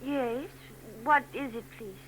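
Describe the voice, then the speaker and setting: An actress playing an excitable, clingy young woman with a breathy manner in a 1940s radio drama. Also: high voice, slow. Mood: flustered. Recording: noisy old radio broadcast tape.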